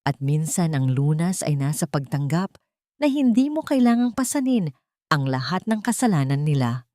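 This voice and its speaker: A woman narrator plays a tender male admirer in an audio story. He talks softly and consolingly, in a gentle manner, with a dry, close sound. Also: on the high side, sing-song, no echo. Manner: soft, soothing, unhurried.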